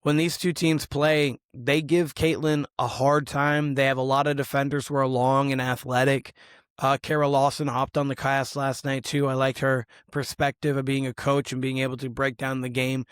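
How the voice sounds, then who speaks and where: A hoarse man hosting a solo basketball show, talking through games and stats in a casual, rambling way. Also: mid-range voice, flat delivery, moderate pace, dry recording, clean studio sound. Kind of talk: solo sports analysis podcast.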